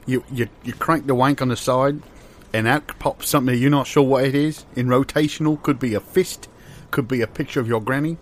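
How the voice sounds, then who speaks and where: A man's voice: medium pitch, fairly even intonation, a little fast, lightly acted. A man playing an eager, bumbling gallery visitor in improvised comedy, hesitant and rambling as he muddles his words. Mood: chatty.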